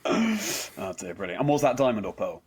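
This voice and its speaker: A man's voice: on the low side, huge pitch swings, normal speed, background noise. A man hosting a conversational interview, attentive and encouraging as he reacts and asks follow-up questions. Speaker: podcast host, interviewer.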